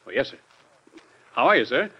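An actor playing a President's flippant, carefree young adult son in an old-time radio drama, glib and defensive. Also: male voice, mid-range voice, very animated, brisk, breathy edge. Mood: breezy, flippant. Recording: dull lo-fi vintage radio recording.

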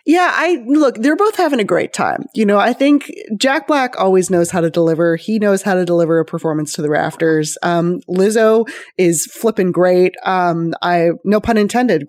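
Woman gushing casually on a podcast, bit of a low voice, really dry recording. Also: a little mellow, no room sound. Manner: emphatic, slangy, gushing. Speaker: podcast co-host. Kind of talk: casual panel chat about a TV show.

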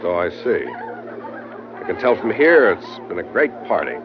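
Wry, low-voiced sea captain, animated delivery. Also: male voice, measured pace, crowd noise. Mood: dry, guarded. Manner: wry, clipped, hard-boiled. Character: tough, sardonic male ship's captain, adventurer hero. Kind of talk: old-time radio adventure drama acting and narration. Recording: muffled vintage radio recording with music.

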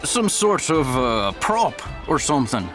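Guy doing a puzzled humourless tavern musician, slow and sharp, touchy, fantasy radio play. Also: a little high, big pitch swings, faint music behind. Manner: hesitant, baffled. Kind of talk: fantasy audio drama acting.

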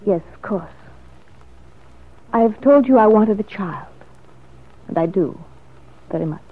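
An actress playing a determined, sharp-tongued woman in a classic radio soap opera, with a haughty, sarcastic manner. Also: medium pitch, expressive, takes it slowly, husky. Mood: insistent. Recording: muffled lo-fi vintage radio recording.

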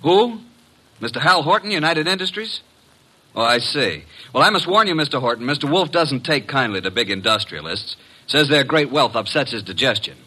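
An actor playing a detective's wry, matter-of-fact assistant in an old-time radio mystery. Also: male voice, mid-range voice, lively intonation, normal speed, pushed and bright, slightly rough, low-quality recording. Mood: brisk, wry. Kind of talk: old-time radio drama acting and narration. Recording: vintage 1950s radio recording.

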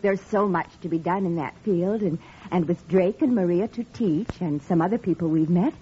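Actress as soft-toned, hopeful devoted wife. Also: female voice, on the low side, normal speed, close to an everyday voice. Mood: happy, hopeful. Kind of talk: 1940s radio acting. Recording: muffled, faintly noisy old radio recording.

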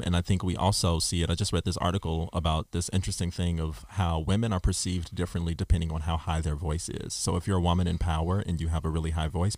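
Deep-voiced man musing at length. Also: vocal fry, darkish tone, no room sound. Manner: reflective, speaks in long explanatory runs. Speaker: podcast host. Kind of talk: casual discussion.

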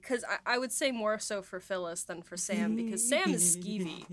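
A woman with a medium-pitched voice hosts a casual podcast. She puts her questions informally, in a conversational way. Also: moderate pace, a crowd in the background. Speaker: podcast host, interviewer. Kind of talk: casual chat.

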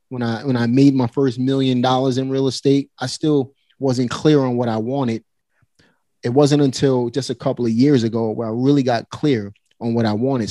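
A forty-year-old man talks in a relaxed, motivating way, speaking right to his listeners. His voice sits at an ordinary middle pitch and is recorded dry and close with no room sound. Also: no room sound. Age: 40s. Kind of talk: casual conversation.

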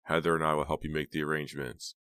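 Man playing a deep, soft, soothing young man. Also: level tone, clean tone, no echo, studio quality. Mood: gentle, soothing. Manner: soft, slow, gentle. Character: soft-spoken soothing young boyfriend, secretly cold killer.

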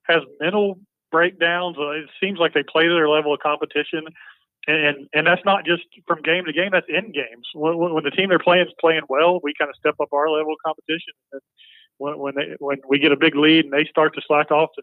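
Fast-talking man on a tinny line. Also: fairly high pitch, steady tone, no echo. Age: 40s. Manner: fast, stammering with repeated words. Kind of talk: casual sports chat.

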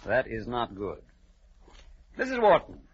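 Earnest, proper solicitor on vintage radio. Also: male voice, medium pitch, wildly swooping pitch, measured pace, husky, heavily acted. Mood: concerned, probing. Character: proper, anxious, dutiful gentleman solicitor. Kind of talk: old radio drama acting. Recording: dull, lightly noisy vintage radio recording.

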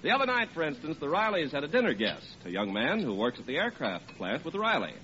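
A male announcer in formal 1940s radio style, high and very animated, delivering his script with emphasis. The recording is old and muffled, with music playing behind. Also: quick pace, a little hiss or hum.

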